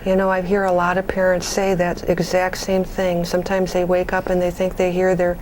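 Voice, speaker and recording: A woman playing a patient bereavement counselor on a support call, gentle, measured and reassuring in the way she talks. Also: on the low side, completely flat delivery, slight background noise, natural voice, low-quality recording. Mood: calm, reassuring. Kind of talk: role-played counseling phone conversation.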